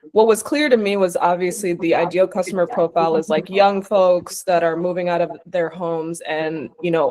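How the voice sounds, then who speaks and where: A woman's voice: lowish voice, a little mellow. A woman from Uganda, an investor giving spontaneous, conversational feedback with probing questions. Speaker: investor judging pitches.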